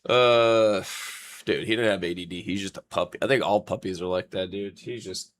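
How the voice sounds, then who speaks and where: A man's voice: sits low, moderate pace, a little hiss or hum. A man hosting a casual livestream with a stuffy nose, blunt and laughing often. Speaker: streamer host.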